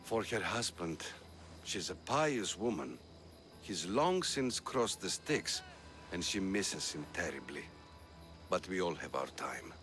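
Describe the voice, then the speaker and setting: A man voicing a calm, reflective villager. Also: sits low, slow, faint music behind, full character voice.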